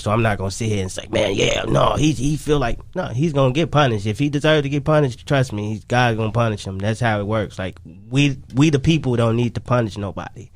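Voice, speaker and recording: A man's voice: on the low side, dry recording. A man talking casually as an interview guest, repeating himself and often saying "you know what I'm saying.". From Chicago, United States. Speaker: interview guest, music artist.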